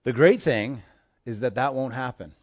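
A man preaching a sermon as pastor of his church, impassioned, swinging between hushed asides and forceful declarations. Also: medium pitch, moderate pace, slightly rough. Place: church in Hawaii.

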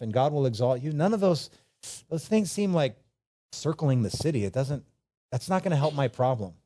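A man's voice: medium pitch, mellow. A man preaching a conversational Bible sermon, informally acting out imagined replies and repeating phrases for emphasis. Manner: rhetorical questions and repetition for emphasis.

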